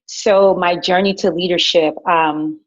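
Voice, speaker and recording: A middle-aged woman from Guyana, an educator, telling her leadership story in a lively, chatty way with fillers and restarts. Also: fairly low pitch, unhurried. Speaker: director of innovation at a school. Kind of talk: personal leadership story, casual. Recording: dull lo-fi recording.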